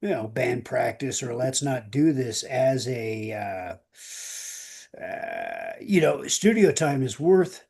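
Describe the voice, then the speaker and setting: A man's voice: medium pitch, huge pitch swings, takes it slowly, creaky, darkish tone, slightly rough. A middle-aged American man, a musician, telling anecdotes in a loose, casual conversational way.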